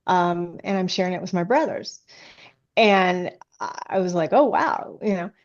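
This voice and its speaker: A woman's voice: on the low side, unhurried, highs cut off. A woman speaking as a guest author in a casual interview, hesitant and often restarting her sentences.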